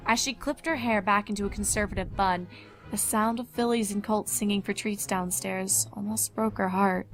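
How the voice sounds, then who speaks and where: A woman's voice: medium pitch, fairly even intonation, moderate pace, faint music behind. A woman reading fan fiction aloud as scripted story narration.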